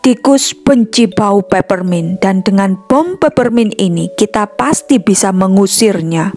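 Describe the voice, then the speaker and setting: A woman's voice: mid-range voice, expressive, measured pace, darkish tone. A woman voicing a determined elderly grandfather professor in a children's storybook. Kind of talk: storybook character voice. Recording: music behind the voice.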